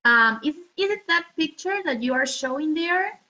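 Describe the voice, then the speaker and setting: A woman with a very high, bright voice helps out in a children's lesson. Her delivery is conversational and a bit halting. The audio is slightly muffled and lo-fi. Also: lively intonation. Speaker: co-teacher assisting in a children's lesson. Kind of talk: conversational question and answer.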